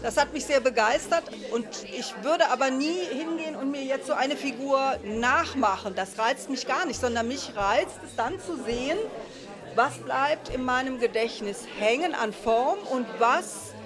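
A woman's voice: sits high, unhurried. An older woman, a sculptor, explaining her work thoughtfully into a handheld mic at a gallery opening. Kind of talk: interview statement. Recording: crowded gallery with music. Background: music and crowd chatter.

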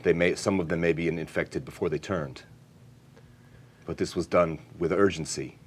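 A man playing a tabletop game, improvising in character in a halting, thoughtful way. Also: low voice, fairly even intonation, a little hiss or hum. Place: indoor studio set.

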